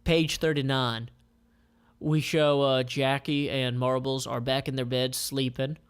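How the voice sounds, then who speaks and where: A man reading a comic aloud informally, describing panels in a halting, conversational way. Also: medium pitch, flat delivery, clear, resonant tone.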